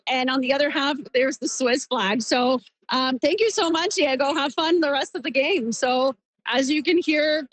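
A woman field reporter, lively and upbeat, reporting live from an outdoor street viewing party with a crowd behind her. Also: high voice, normal speed, no room sound, poor audio.

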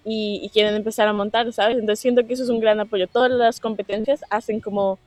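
A teenage woman from Colombia talks easily about her sport, loose and chatty with frequent fillers. Her voice sits at a middle pitch and the sound is close and dry. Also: fairly quick, no echo. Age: teens. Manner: relaxed, chatty, many fillers like "sabes". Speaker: guest skateboarder. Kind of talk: casual interview chat.

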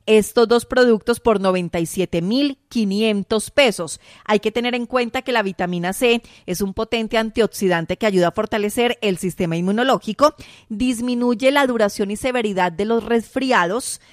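A Colombian woman hosting a radio segment and delivering a sales pitch for health products. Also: no echo, clean studio sound.

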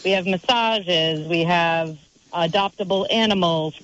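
A woman's voice: on the low side, measured pace, a bit bright, highs cut off. A woman event organizer in an interview, upbeat and eagerly listing what is on offer. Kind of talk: casual interview chat.

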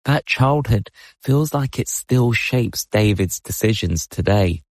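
A man presenting a radio documentary with scripted, measured, clearly phrased narration. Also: on the low side, expressive, no room sound, clean studio sound.

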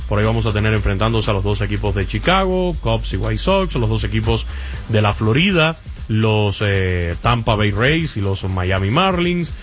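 A man on sports talk radio, speaking in a steady, measured way as he promotes upcoming baseball broadcasts. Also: on the low side.

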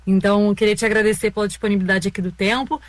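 A woman's voice: medium pitch, little pitch movement, racing, no room sound. A woman, hosting a radio programme and introducing guests and projects. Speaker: radio show host.

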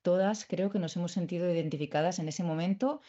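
A woman interviewer in a conversational interview, talking in a reflective way and often pausing to search for words. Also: on the low side, dark tone, dull audio.

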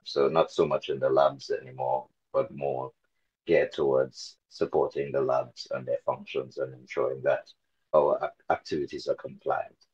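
A man in his forties from Trinidad and Tobago, talking casually as an interview guest, relaxed and a bit hesitant with many fillers. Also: rumbling low, moderate pace, breathy edge, dull audio. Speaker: interview guest, dog carer volunteer.

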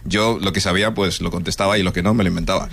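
A man's voice: deep voice, fairly even intonation, rapid-fire, creaky, fairly bright tone, slight background noise. A man from Nigeria who grew up partly in Spain, a native English speaker, telling stand-up stories conversationally. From Nigeria, moved to Spain as a child. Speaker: stand-up comedian. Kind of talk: stand-up comedy storytelling.

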